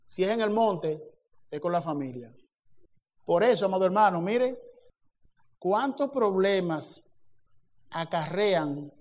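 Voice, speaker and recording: Pastor guy preaching, high voice, gets loud and punchy on the big points. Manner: preaching with acted dialogue and rising emphasis. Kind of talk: sermon.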